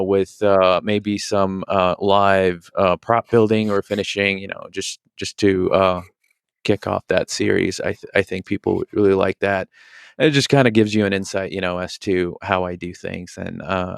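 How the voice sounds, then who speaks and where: A man chats informally as a panel guest, padding his talk with filler phrases. His low voice is resonant with a fair bit of fry, recorded close and dry. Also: no echo. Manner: chatty, peppered with "you know". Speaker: podcast guest, prop maker. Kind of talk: casual chat.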